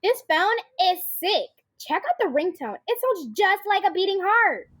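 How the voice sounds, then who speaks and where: A woman's voice: very high-pitched, very animated, moderate pace, fairly bright tone, full character voice. A girl of about twelve playing a chatty, phone-obsessed schoolgirl in a comedic radio drama. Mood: gushing, carefree. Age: child about twelve. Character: phone-obsessed chatty schoolgirl, gushing, whiny when upset.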